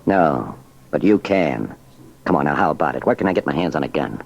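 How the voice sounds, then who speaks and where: An actor playing a curt, impatient small-time American crook, talking terse slang in a 1940s radio crime drama. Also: male voice, a bass voice, lively intonation, brisk, creaky, exaggerated voice. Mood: impatient, pushy. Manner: terse, slangy tough talk. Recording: muffled old radio recording.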